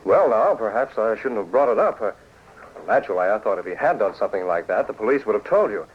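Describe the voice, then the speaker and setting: An actor playing a confident, shrewd newspaper publisher with a husky voice, in 1940s radio style. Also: male voice, fairly low pitch, very animated, quick pace, a little hiss or hum. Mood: shrewd, measured. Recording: dull lo-fi 1940s radio recording.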